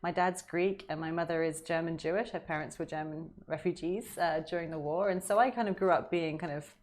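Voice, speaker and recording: A woman's voice: on the low side, fairly quick, darkish tone. A middle-aged woman, a diplomat, chatting as an interview guest in a friendly, easygoing way with small laughs and restarts. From United Kingdom. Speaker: guest, deputy ambassador. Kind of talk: casual interview conversation.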